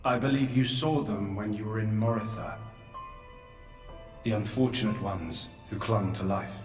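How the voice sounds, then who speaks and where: A male actor playing an ancient, remorseful creator in a video game, speaking gravely and solemnly in measured monologue. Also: on the low side, natural voice. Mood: solemn explaining. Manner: grave, deliberate, measured delivery. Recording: echoey, distant, under loud music.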